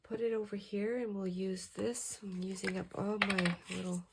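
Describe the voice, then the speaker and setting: A middle-aged woman with a hoarse voice chatting in a relaxed, rambling way as she crafts at her table late at night. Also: medium pitch, normal speed, noisy background. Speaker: crafting channel host. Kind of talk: casual crafting chat.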